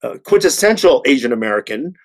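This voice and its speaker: A man of sixty speaking earnestly in a panel discussion, halting and repeating words for emphasis. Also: mid-range voice, very animated. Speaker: actor on a panel.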